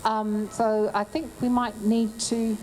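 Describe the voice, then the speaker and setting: A woman compering an outdoor protest rally, introducing speakers in a casual, hesitant way with many pauses. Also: fairly high pitch, level tone, background noise.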